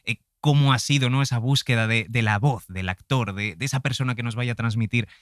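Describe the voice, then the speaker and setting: A man hosting a podcast interview in a conversational style, thinking aloud in a halting way with frequent tag questions. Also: mid-range voice, fairly bright tone, dry recording, studio quality.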